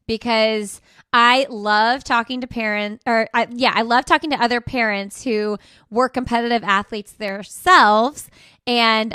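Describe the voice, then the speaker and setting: A woman's voice: fairly high pitch, pushed and bright, smooth, dry recording, clean studio sound. A woman hosting a parenting podcast, upbeat and enthusiastic in casual conversation. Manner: bubbly, enthusiastic. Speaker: podcast host.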